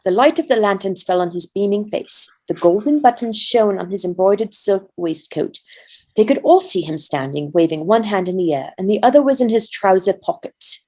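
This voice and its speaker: A woman with a medium-pitched voice narrates a fantasy tale. She reads aloud in a measured way, as a volunteer storyteller would. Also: animated, mellow. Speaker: volunteer reader.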